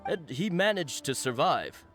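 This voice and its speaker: Blunt fish vendor over music. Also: male voice, on the high side, big pitch swings, measured pace, fairly bright tone. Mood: gossipy. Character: defensive, blunt male street fish vendor. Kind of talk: scripted video game voice acting.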